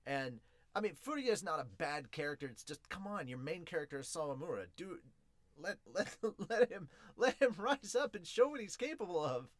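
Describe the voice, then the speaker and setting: A man hosting a podcast in an excitable, informal, slangy way, chatting casually as he reviews and reads out comments. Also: a little high, normal speed, no room sound.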